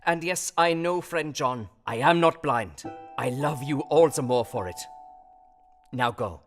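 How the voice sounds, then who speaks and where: An actor playing a kindly, wise old Dutch professor in an audio drama, with quaint foreign phrasing and theatrical emphasis. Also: male voice, mid-range voice, unhurried, soft background music, close to an everyday voice. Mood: fond, moved. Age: older.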